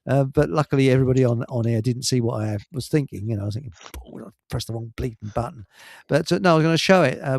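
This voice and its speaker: A man's voice: mid-range voice, vocal fry, a little mellow, no room sound. A man hosting a casual, rambling live radio chat, blunt and grumbling with mild British swearing. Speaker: radio show host.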